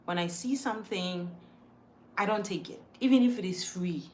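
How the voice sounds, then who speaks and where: A woman's voice: fairly low pitch, moderate pace, a little mellow, a little hiss or hum. A woman from Ghana with a mixed Ghanaian accent, chatting casually as a livestream host and reading out viewer comments, emphatic and repeating her words as she thinks aloud. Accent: Ghanaian, mixed from moving around.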